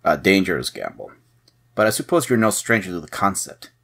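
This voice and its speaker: An actor playing a shrewd, suspicious head agent, probing and mocking, in an audio drama. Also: male voice, low-pitched, very animated, fairly quick, vocal fry, fairly bright tone, slightly rough, full character voice. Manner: probing, sardonic.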